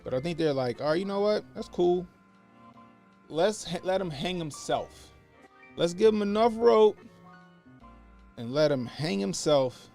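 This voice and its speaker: A man hosting a casual political commentary livestream, talking loosely with slang and repeating phrases for emphasis, in what he calls a monotone voice. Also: sits high, a little slow, vocal fry, long pauses. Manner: self-described monotone voice. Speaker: livestream host. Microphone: podcast mixer setup. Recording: faint background music under the voice.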